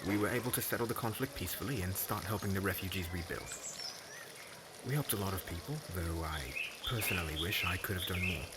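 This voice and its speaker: A man's voice: deep voice, fast talker, heavy background noise, lightly acted. A man playing an earnest, polite and somewhat hesitant fire mage hero in an ASMR roleplay. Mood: earnest, nervous. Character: earnest heroic young male fire mage, shy suitor.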